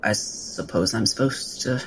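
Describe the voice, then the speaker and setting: A man playing a composed, formal female doctor in a tabletop game, hesitant, halting and softly stammering. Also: lowish voice, background noise, pitched below the performer's own voice. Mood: awed, hesitant.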